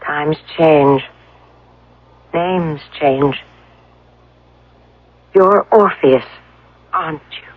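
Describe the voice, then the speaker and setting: A woman's voice: deep voice, very slow, long pauses, no echo, full character voice. A woman acting in a radio drama as a determined captive, coaxing and probing with insistent persuasion. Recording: dull, dry lo-fi radio broadcast.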